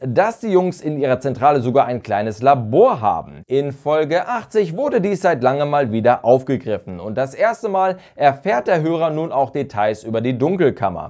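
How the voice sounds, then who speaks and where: Man with very animated intonation. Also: medium pitch. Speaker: host and reviewer. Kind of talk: scripted review commentary to camera. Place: small furnished room indoors. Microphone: lapel mic.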